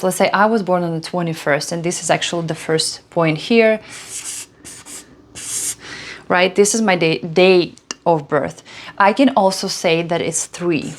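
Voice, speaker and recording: A woman in her thirties from Belarus, a Russian speaker, explaining numerology in a chatty way full of fillers and restarts. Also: fairly low pitch. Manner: chatty, many fillers and restarts, frequent "right?". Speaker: host, numerology coach. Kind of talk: casual explanatory talk.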